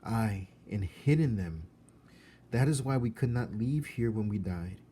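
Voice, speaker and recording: Man voicing a mournful ghost child, drawn-out and higher. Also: lively intonation, dark tone, slight background noise. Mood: grave, sorrowful. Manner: drawn-out, archaic wording. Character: sad faint ghost children, old-fashioned and mournful.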